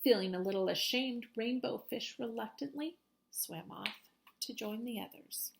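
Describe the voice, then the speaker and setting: A woman's voice: fairly high pitch, unhurried, creaky, slight background noise. A woman teacher reading a children's storybook aloud expressively to her students.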